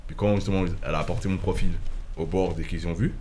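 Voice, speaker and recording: A man's voice: low voice, fairly even intonation, darkish tone, slight background noise. A man from Switzerland, a photographer chatting as a podcast guest in a loose, restarting way. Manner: fast, loose chat with many restarts and fillers. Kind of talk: casual conversation.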